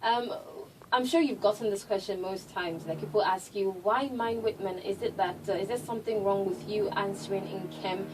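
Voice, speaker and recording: A woman hosting a radio interview, chatty and quick to laugh. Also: medium pitch, a little mellow. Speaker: radio show host. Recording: distant mic with noise.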